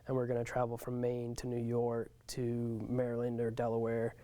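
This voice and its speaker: Mellow, even-toned man in interview. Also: mid-range voice, moderate pace. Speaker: military veteran being interviewed. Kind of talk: unscripted interview answers.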